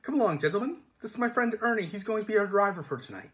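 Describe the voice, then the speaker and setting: A man voicing a cheerful, courteous gang leader with quiet menace, in a storybook reading. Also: high-pitched, lively intonation, very fast, voice pushed up. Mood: bright, cheerful menace. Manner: cheery, falsely solicitous, courteous. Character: brightly polite, menacing male knife-wielding gang leader.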